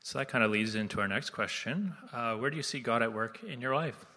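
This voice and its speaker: A man's voice: mid-range voice, fairly quick, sharp tone. A man hosting a casual church interview on a handheld mic in a sanctuary, upbeat and joking. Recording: long hall reverb in a church.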